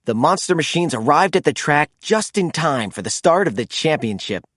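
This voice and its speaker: A man narrating a children's storybook in an expressive, animated read-aloud style. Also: fairly high pitch, moderate pace, no echo, studio quality.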